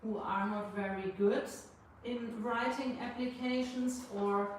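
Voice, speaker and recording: A woman's voice: on the high side, fairly even intonation, unhurried. A woman in the audience asking the panel a question in a hesitant way, with many fillers. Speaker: audience member. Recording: echoey room, distant mic, noise.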